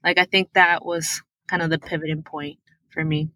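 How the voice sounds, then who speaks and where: A woman from Peru, a native Spanish speaker, telling her story as a podcast guest over a remote call. Her voice is low-pitched yet bright and forceful. She comes across as earnest and animated, and the sound is dry and close. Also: moderate pace, no echo. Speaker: guest, tech product manager. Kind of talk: interview answers, personal storytelling.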